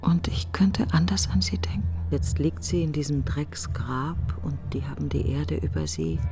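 A woman's voice: deep voice, very animated, normal speed, over music. An actress playing a middle-aged, bitter woman mourning her best friend in an intimate, brooding radio drama monologue. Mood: bitter grief.

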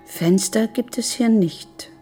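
A woman playing a calm, controlling, quietly menacing captor in a German radio drama, measured and unyielding. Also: on the high side, slightly rough, faint music behind. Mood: calm, evasive.

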